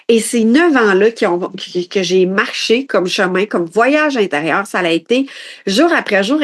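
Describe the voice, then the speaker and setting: A woman in her late forties with a Quebec French accent, hosting a reflective personal development podcast in an introspective, halting way, often restarting her phrases. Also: on the high side. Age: late 40s.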